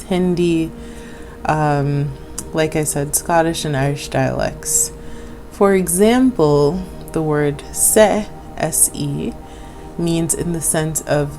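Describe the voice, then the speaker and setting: A woman raised in Jamaica hosts her own vlog in a low, deep voice. She talks slowly, gently and affirmingly, with small laughs. Soft music plays faintly behind her. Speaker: channel host. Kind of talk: casual vlog talk.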